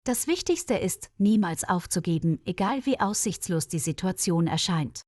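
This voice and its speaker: Woman voicing an earnest, calm male nurse. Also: lowish voice, moderate pace, no room sound, studio quality. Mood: earnest, reflective. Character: dedicated, modest, experienced male nurse.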